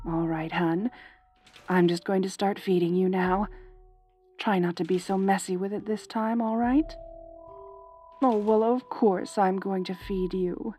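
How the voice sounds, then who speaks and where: A woman playing a lonely, possessive centaur girl in a roleplay audio drama, tender and desperate, with halting, sighing, pleading delivery. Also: medium pitch, steady tone, dark tone, faint music behind, lightly acted. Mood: tender, coaxing.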